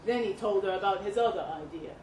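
A woman reading a literary short story aloud at a live event, with expressive, deliberate phrasing and dramatic pauses. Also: medium pitch. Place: indoor live reading event at a pub venue. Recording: roomy live venue, some background noise.

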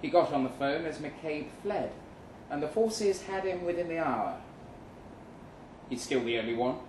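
A man performing a live short-story reading as a weary, jaded agent, speaking deliberately with dramatic pauses. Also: on the high side, animated, darkish tone. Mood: wry, matter-of-fact. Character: weary, jaded male agent narrating his own story. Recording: roomy live venue, some noise.

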